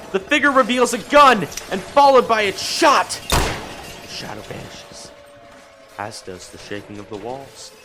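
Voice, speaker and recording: Animated male radio play narrator. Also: mid-range voice. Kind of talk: dramatic scripted storytelling.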